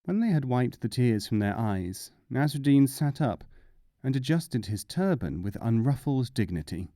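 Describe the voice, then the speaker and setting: A man's voice: medium pitch, sing-song, moderate pace, dark tone, no room sound, clean studio sound. A man narrating short humorous fables as a scripted audiobook reading.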